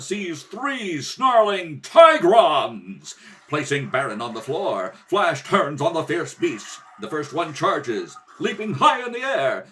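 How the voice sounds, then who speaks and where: A man's voice: a little high, a bit bright. A man narrating an adventure radio serial in a theatrical, emphatic scripted style. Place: kitchen table at home.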